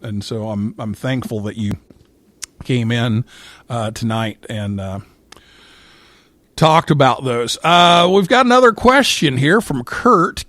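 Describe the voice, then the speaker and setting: A man hosting a casual live talk show, speaking in a halting way and often repeating his words. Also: medium pitch, fairly bright tone, no room sound. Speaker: radio show host.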